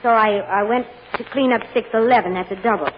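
Actress as a fussy chambermaid, muffled old radio. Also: female voice, a little high, quick pace, lightly acted. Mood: earnest, hesitant. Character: plain-spoken, fussy working-class chambermaid on the witness stand. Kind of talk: 1940s radio drama acting. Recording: dull, noisy old-time radio recording.

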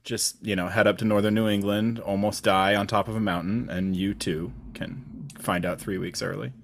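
A man's voice: on the low side, flat delivery, moderate pace, vocal fry, slight background noise. A man co-hosting a casual podcast with a wry, joking manner. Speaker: podcast co-host.

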